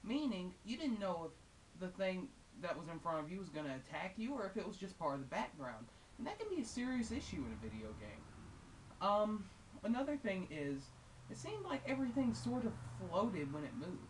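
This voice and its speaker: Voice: medium pitch, very animated, moderate pace. A female-sounding game reviewer giving casual, unscripted and opinionated commentary in a conversational way with wry asides. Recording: hissy, distant microphone.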